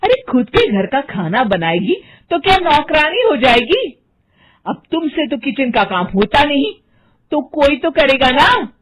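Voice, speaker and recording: Woman playing a loud, melodramatic scheming stepmother. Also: very animated, a little slow, rough recording. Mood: insistent. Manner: shrill scolding, exaggerated sweetness, dramatic complaining. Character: scheming, bossy stepmother, melodramatic middle-aged village woman.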